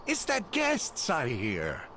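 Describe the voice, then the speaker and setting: A kindly old village chief from a video game. His gentle, reflective delivery rises and falls widely in pitch, with clear ambient noise behind it. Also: wildly swooping pitch, slow pace, exaggerated voice. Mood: grateful, wistful. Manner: gentle, polite, reflective storytelling. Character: kindly elderly male village chief, wistful.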